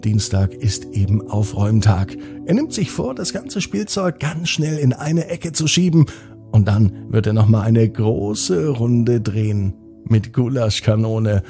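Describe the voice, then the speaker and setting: A man narrating a scripted children's bedtime story, gently speaking to the young listener in a slightly husky, gravelly voice. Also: lowish voice, animated, measured pace, music in the background.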